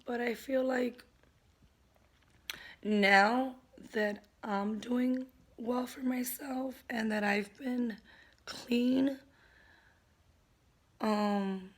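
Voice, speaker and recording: A woman with a hoarse, fairly high voice speaks slowly and earnestly to her camera at home. Her delivery stays flat and even, as she keeps her naturally loud voice held back in a candid personal vlog. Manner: earnest, slow and open, toning down her natural loudness. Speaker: vlogger. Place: at home, indoors.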